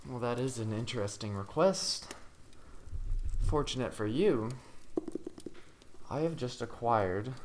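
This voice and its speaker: A soft-spoken man giving a gentle, unhurried, hushed ASMR bedtime story reading. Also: mid-range voice, a little hiss or hum.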